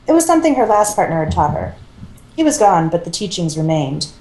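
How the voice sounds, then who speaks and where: A woman reads a story aloud in a relaxed, mellow voice. Her delivery is casual and amateur, with small self-corrections, and the room echoes around her. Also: fairly low pitch, fairly quick. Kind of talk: reading fan fiction aloud, casual.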